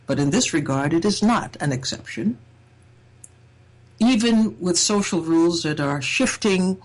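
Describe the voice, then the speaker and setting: A woman from Trinidad giving a reflective personal talk in a measured, thoughtful way, with wry humor. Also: sits low, slightly rough, low-quality recording. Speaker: speaker sharing a personal essay.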